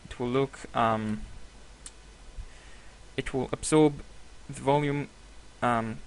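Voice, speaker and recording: A man whose first language is Hebrew, giving a screen-recorded software tutorial with many hesitations and self-corrections. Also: medium pitch, fairly even intonation, slow pace, clean tone, rough recording. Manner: apologetic, laughs at himself.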